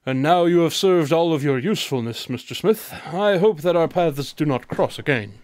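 A man's voice: fairly high pitch, expressive, normal speed, darkish tone, no room sound, natural voice. A man playing a composed, confident detective in a radio drama, with formal, precise wording.

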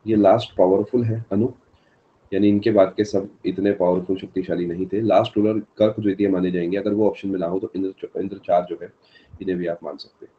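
Hoarse deep-voiced man teaching live. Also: little pitch movement, a little fast, phone-quality sound, a little hiss or hum. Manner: answers live chat by name, mixes English terms. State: sore throat, unwell. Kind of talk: live online exam-prep lecture.

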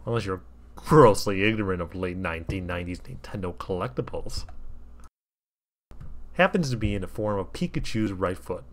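A man reading comic fan fiction aloud as a storybook narrator, with wry, theatrical asides to the listener. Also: lowish voice.

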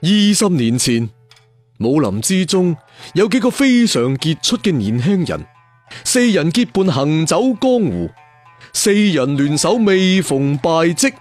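A man's voice: a little high, very animated, slow pace, darkish tone, soft background music, pitched above the performer's own voice. A man voicing a courteous, self-effacing assassin and master thief in a storybook, speaking politely and formally. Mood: grave, recalling.

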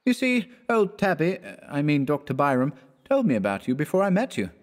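Man putting on a high-pitched breezy young male student. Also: wildly swooping pitch, fairly quick. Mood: cheerful. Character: breezy young male art student, flippant, easily flustered. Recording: echoey hall-like reverb.

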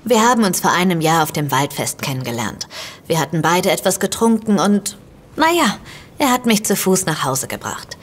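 A woman's voice: fairly low pitch, sing-song, normal speed. An actress playing an outspoken, determined woman turned amateur sleuth in a naturalistic audio drama, speaking directly and probingly. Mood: teasing, familiar.

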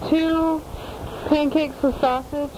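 A woman with a high voice takes a phone order briskly, in a businesslike way. Clear noise runs under the call. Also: fairly even intonation. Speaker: restaurant employee.

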